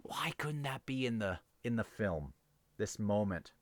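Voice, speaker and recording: Deep-voiced man in casual book talk. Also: expressive, measured pace, no room sound. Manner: informal, musing, stumbles over words. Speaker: host of a book review show. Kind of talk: casual book discussion with read-aloud passages.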